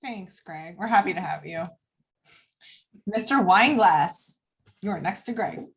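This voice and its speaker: Slow-paced, lively-pitched woman hosting. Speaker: host of a cast table read. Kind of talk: casual hosting chat. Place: video call.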